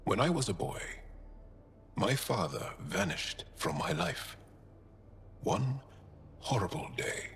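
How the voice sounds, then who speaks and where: An actor playing a gentle, earnest male alien resistance fighter in a video game, speaking in a measured, solemn, heartfelt way with a deep, gravelly voice. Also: very animated, big-room sound, slight background noise, full character voice. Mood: sorrowful. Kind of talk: video game cutscene acting.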